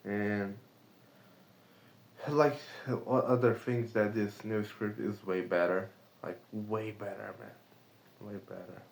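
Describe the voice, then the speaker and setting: A man's voice: fairly low pitch, level tone, slow pace, vocal fry, long pauses. Brazilian man rambling with a Portuguese accent. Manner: rambling, lots of "like" fillers and swearing. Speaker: remote sales rep. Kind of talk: casual vlog monologue.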